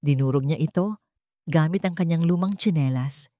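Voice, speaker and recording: A woman's voice: low-pitched, normal speed, mellow, dry recording, clean studio sound. A woman narrating a scripted dramatic story as a storyteller.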